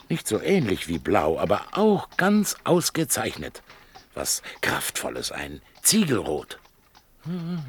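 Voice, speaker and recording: A man's voice: lowish voice, slightly rough, exaggerated voice. An actor playing an impatient, pragmatic older male shopkeeper in a radio play, blunt and bargaining. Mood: persuading. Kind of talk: German radio play acting.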